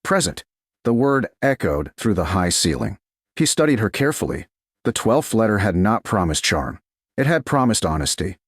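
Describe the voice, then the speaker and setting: A man giving measured, dramatic scripted narration of a storybook romance. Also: on the low side, very animated, husky, no room sound, clean studio sound.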